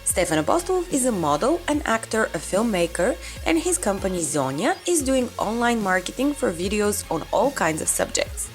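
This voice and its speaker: A woman's voice: mid-range voice, very animated, normal speed, hardly any pauses, over music. A woman reading a scripted introduction of a guest as show host. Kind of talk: scripted narration.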